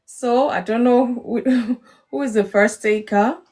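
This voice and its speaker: A woman's voice: fairly high pitch, fairly even intonation, slow pace, a little mellow. Playful Nigerian woman hosting a live chat. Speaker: live stream host. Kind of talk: casual live group chat with encouragement.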